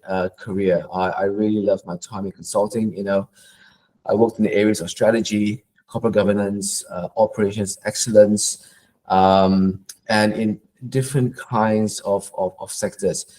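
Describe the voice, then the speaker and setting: Hesitant, low-voiced Malaysian-born professor. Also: male voice, fairly even intonation, normal speed, dark tone, poor audio. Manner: thoughtful, with frequent hesitations and repeated words. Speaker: professor, interview guest. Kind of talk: conversational interview answers.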